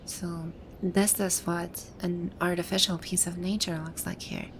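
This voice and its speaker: A woman playing a haughty, grouchy video game villain boss with a secretly soft side, speaking in imperious, formal grumbles in an ASMR roleplay. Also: lowish voice, level tone, a little slow, a little hiss or hum. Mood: musing, grouchy. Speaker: audio roleplay voice actor.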